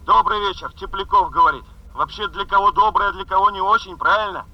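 An actor playing a worried male plant engineer, deferential and hurried, reporting over a telephone in a radio drama. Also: sits high, dry recording. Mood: anxious, hurried. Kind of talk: 1970s radio drama acting. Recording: dull, band-limited phone sound.

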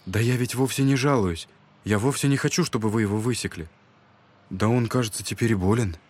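An actor playing a gentle young monk in an audio drama, speaking earnestly and softly with a husky voice. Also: male voice, lowish voice, fairly quick, natural voice. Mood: earnest, conciliatory.

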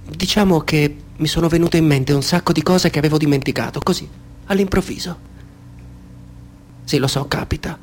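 An actor playing a polite, soft-spoken stranger in a radio drama who talks in a calm, measured way with a hidden menace. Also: male voice, fairly high pitch, a little hiss or hum, natural voice.

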